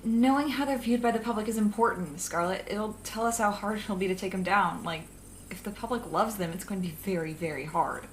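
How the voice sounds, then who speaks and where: A woman acting in an audio drama as a wry, cautious young female villain who plans briskly, with a dry, sarcastic manner. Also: mid-range voice, a little hiss or hum, natural voice. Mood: serious, wary.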